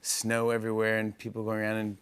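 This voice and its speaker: A man's voice: lowish voice, completely flat delivery, quick pace, fairly bright tone, clean tone. Late-night host, male, eager, stammering restarts. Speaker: talk show host. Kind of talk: late-night talk show interview. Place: television studio with audience.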